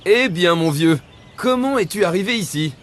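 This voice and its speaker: A man's voice: high voice, expressive, moderate pace, noisy background. A man voicing an eager, friendly young adventurer in a cartoon, with an earnest delivery. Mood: curious, concerned. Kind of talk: cartoon voice acting.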